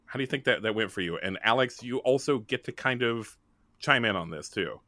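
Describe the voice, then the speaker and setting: A man's voice: medium pitch, lively intonation, fairly quick, bright, forceful tone, dry recording, studio quality. A man casually explaining rules and prompting players as he runs a tabletop game.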